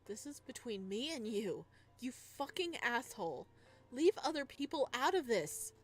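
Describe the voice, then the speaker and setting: Woman acting as a defiant, sharp-tongued fugitive. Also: on the high side, sing-song, unhurried, pitched above the performer's own voice. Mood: furious. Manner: scathing, indignant. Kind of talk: visual novel voice-over.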